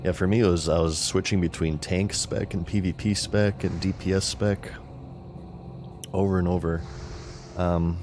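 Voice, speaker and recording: A man streaming a game and chatting casually with viewers, rambling and thinking aloud, his voice scratchy and clearing his throat. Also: sits low, steady tone, normal speed, a little mellow. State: scratchy, failing voice.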